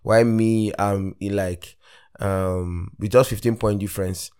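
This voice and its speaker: A Nigerian man hosting a casual solo fantasy football podcast, rambling with lots of fillers and restarts, his voice still deep from the morning. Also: steady tone, a little slow, darkish tone, clean tone. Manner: rambling, many fillers and self-corrections. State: morning voice, deeper than usual. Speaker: podcast host.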